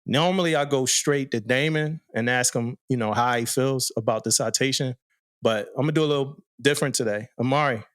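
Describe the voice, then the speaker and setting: A man's voice: mid-range voice, brisk, a bit bright, no room sound, clean studio sound. A man hosting a podcast interview, asking brief, plain questions and giving short listening replies. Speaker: podcast host.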